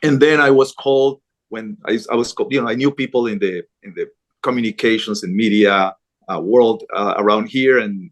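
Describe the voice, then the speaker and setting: A middle-aged man from Colombia, a news anchor, talking earnestly in an interview, with frequent restarts and fillers. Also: on the low side. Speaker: interview guest, Spanish-language news anchor. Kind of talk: conversational interview.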